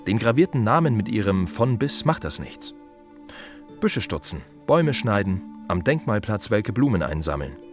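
An actor playing a plain-spoken, wry gravedigger in a radio play monologue, confiding in short halting phrases with dry irony. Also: male voice, on the low side, very animated, soft background music. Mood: matter-of-fact, wry.

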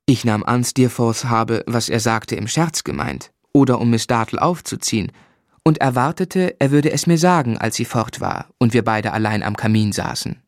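An actor narrating a German radio drama as a man looking back on his youth, in a measured, reflective literary style. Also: mid-range voice, no room sound, studio quality, natural voice.

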